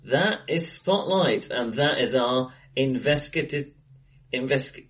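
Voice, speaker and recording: A middle-aged man chatting casually and wryly about films as a podcast co-host, recorded in a bright, echoey room. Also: medium pitch, expressive, unhurried. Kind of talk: casual film chat. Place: face to face in an acoustically bright room.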